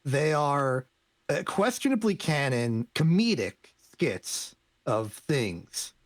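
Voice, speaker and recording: A man's voice: on the high side, big pitch swings, slow pace, dry recording. A man co-hosting a game stream, chatting casually and giving advice in a hesitant way that repeats words. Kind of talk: casual chat, advising a player.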